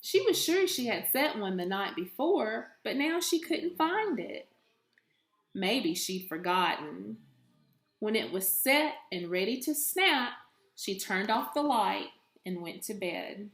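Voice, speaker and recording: A woman reading a children's storybook aloud with deliberate, expressive phrasing, like a teacher with a young class. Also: medium pitch. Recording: echoey room.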